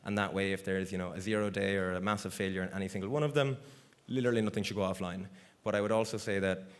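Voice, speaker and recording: A man with a fairly low voice gives a conference talk over a stage microphone. He keeps it relaxed and conversational, leaning on fillers as he works through audience questions. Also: quick pace. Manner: casual, loose, full of fillers like "you know" and "like". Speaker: conference speaker. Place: large conference hall stage.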